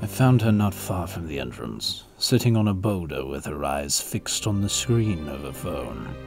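A grave royal guardian, voiced by a man for an audio drama. His voice is deep, formal and measured. Music is clearly heard beneath him. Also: very animated, full character voice. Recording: prominent music under the voice.

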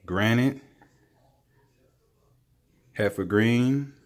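A man filming a casual home vlog, excitedly showing a new pet and saying he is sweating while he handles it. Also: mid-range voice. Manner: excited, admiring, unhurried. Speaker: reptile keeper vlogger. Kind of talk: casual handheld show-and-tell vlog. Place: indoors at home, by a table. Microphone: handheld phone camera, off-screen speaker.

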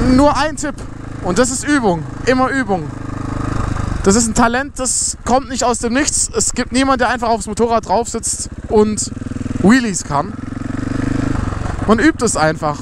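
A young man with a fairly high voice calmly walks through each step, casual and instructive. He is speaking from the saddle of a motorcycle on an open road, recorded on a camera mounted on him, with a faint engine drone underneath. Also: background noise. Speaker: motovlogger. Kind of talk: riding tutorial commentary. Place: outdoors on a motorcycle on a closed road. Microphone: helmet or body camera while riding.

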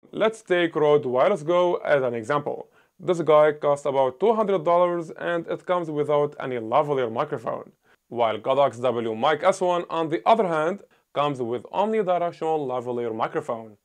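A man in his thirties talks loudly and emphatically through a scripted review of camera gear. His voice sits a little higher than most men's. It is picked up by a clip-on lapel mic on a small indoor set. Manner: loud, emphatic delivery.

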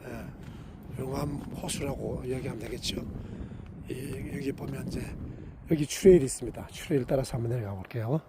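A middle-aged man with a hoarse voice from a cold, narrating his family's trip outdoors as a travel vlogger and explaining each stop. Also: medium pitch, normal speed, background noise. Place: outdoors at a desert monument site.

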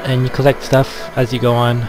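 A man giving casual gameplay commentary as a Let's Play gamer, in a hesitant, low-key manner. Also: completely flat delivery, normal speed, low-quality recording. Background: game music.

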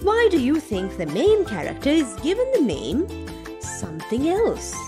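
A young woman reading to children as a storyteller, deliberate, teacherly and very expressive. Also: sits high. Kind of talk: scripted children's read-aloud and questions. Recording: music behind. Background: music.

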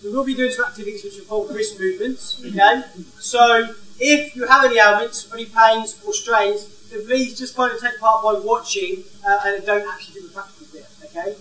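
Lively man in an echoing hall. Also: sits high, brisk. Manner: energetic, walks around gesturing, asks the crowd questions. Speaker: head of school leading an icebreaker. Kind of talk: interactive talk without a microphone. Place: large indoor hall. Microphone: no mic, far camera. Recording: roomy hall from a distance.

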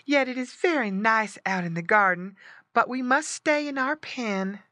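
A woman's voice: sits high, animated, unhurried, a bit bright, no echo, pitched above the performer's own voice. A woman voicing an anxious, motherly pig fretting over her children, in a storybook reading. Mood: resigned. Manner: fretful, exclaiming.

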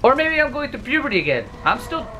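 Twenties gamer in his bedroom, bright and wildly swinging, cussing and yelling at the screen, hoarse. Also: very high voice, big pitch swings, fast, a little hiss or hum. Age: 20s. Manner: loud, profane, yelling at the game. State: losing his voice. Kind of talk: let's play commentary. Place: bedroom, indoors.

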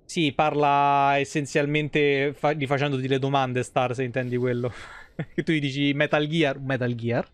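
A man's voice: mid-range voice, little pitch movement, moderate pace, clear, resonant tone, dry recording. A man, giving casual, playful and slangy live commentary on a game. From Italy. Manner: playful, slangy, mock exclamations. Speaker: game livestreamer. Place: small indoor room, streaming setup.

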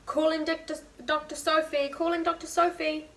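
A woman voicing an excited young boy from a storybook who plays at urgent rescues and calls out emphatically. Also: high voice, moderate pace, gravelly, pitched above the performer's own voice. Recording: distant mic with faint room noise.

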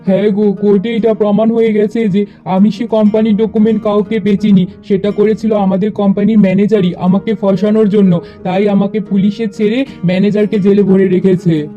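A man's voice: high voice, level tone, brisk, highs cut off, soft background music, close to an everyday voice. A man playing a wronged, earnest father in a cartoon, speaking emphatically. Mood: relieved, earnest. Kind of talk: cartoon character dialogue.

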